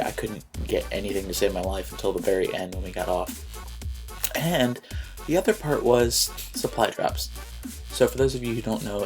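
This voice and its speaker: A man's voice: on the low side, a little mellow. A young man with a cold and a stuffed-up nose, chatting casually and rambling with lots of likes and ums while he plays a video game. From Philadelphia area, United States. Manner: rambling, frequent likes and ums, mildly annoyed. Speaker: gaming YouTuber. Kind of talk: casual gameplay commentary.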